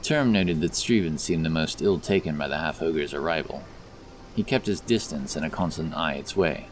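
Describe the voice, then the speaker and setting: A man's voice: extremely low pitch, moderate pace, slight background noise. A man narrating a scripted fantasy story as the storyteller of an audio drama.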